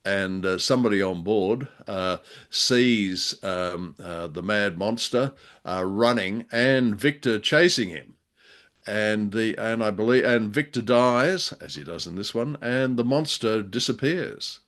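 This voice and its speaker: A man with a fairly low voice co-hosts a casual talk show. He rambles along hesitantly and jovially, slipping into playful mock drama as he goes. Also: measured pace, a bit bright. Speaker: podcast co-host. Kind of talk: casual chat reviewing a radio drama.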